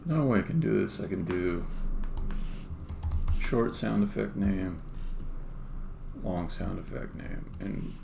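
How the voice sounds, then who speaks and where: A very low, heavily creaky voice mutters short fragments while programming on a stream. The delivery is slow and halting, like someone casually thinking aloud. The room is echoey, with keys clicking faintly behind. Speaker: live-coding game developer streamer. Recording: roomy echo, faint background noise. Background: computer keyboard typing and clicking.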